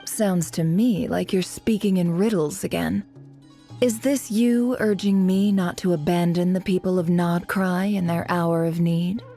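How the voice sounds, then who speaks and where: A woman's voice: lowish voice, fairly even intonation, a little slow, warm tone, faint music behind. An actress voicing a proud, lazy, sardonic young woman boss in a video game, with an arch and teasing delivery. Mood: haughty, teasing. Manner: arch, teasing, self-satisfied. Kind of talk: English video game dub acting.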